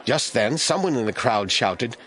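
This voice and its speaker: Animated male narrator. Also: mid-range voice, moderate pace, some chatter behind. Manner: expressive storytelling. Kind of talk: scripted dramatized Bible narration.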